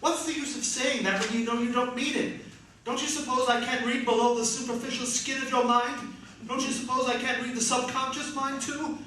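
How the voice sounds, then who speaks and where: Man playing a high, plaintive robot servant, echoey. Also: steady tone, fairly quick, sharp tone. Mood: anguished, pleading. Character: obedient, anguished mind-reading male robot servant. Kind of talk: radio drama acting. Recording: echoey, distant, faint noise.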